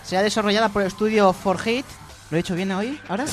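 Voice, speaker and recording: A man reads video game news on a radio show and keeps breaking off to tease his co-hosts and laugh. His voice is high for a man. Soft music plays under the talk. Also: fast talker. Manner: teasing, laughs often.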